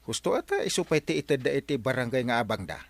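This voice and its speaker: A man's voice: mid-range voice, very animated, quick pace, gravelly. A man playing a bumbling middle-aged village captain in a comedy radio drama, exclaiming and easily flustered. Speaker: barangay captain.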